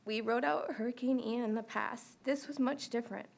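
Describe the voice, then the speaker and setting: A woman giving steady, orderly public testimony at a county meeting. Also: on the high side, normal speed, creaky. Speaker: resident giving public comment. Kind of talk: prepared personal testimony. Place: county commission meeting room.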